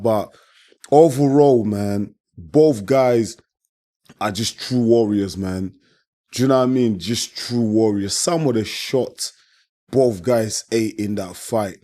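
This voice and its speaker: A man whose voice is hoarse and worn out, speaking in a mellow, warm tone. He talks casually and with passion, repeating himself and leaning on friendly filler words as he reacts on his own channel. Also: medium pitch. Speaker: boxing channel host. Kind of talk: casual post-fight reaction monologue.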